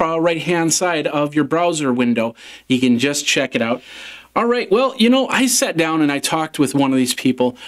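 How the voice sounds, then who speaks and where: A man in his forties presenting a web show in a casual, upbeat promotional patter, speaking to camera from a small office. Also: a little high. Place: small home office studio.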